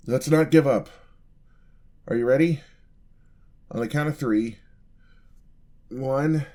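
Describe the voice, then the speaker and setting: A man playing a sweet, eager young paladin apprentice in a fantasy ASMR roleplay, speaking politely and earnestly to the listener. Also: fairly high pitch. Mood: straining, encouraging. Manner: polite, earnest, gently encouraging.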